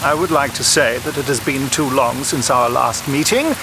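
An actor playing a stern, formal Victorian gentleman detective in a period audio drama, clipped and commanding. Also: medium pitch, normal speed, natural voice. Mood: stern, urgent. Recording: hissy heavy rain behind. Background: pouring rain and running water.

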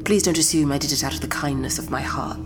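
An actress playing a cool, guarded female Time Lord president who speaks in a wry, lively way. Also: extremely low pitch, quick pace, over music. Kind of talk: audio drama acting.

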